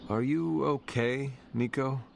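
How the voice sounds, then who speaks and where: An actor playing a calm, resolute demon hunter in a video game, measured, earnest and slightly formal. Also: male voice, mid-range voice, creaky, slight background noise. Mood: calm, concerned. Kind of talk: dramatic video game acting.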